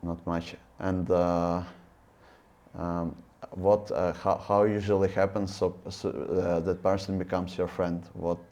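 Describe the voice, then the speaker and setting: A man's voice: sits low, flat delivery, takes it slowly, a little mellow. A man with a Ukrainian accent hosting a podcast, asking questions hesitantly with many fillers. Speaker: podcast host. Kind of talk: casual interview questions.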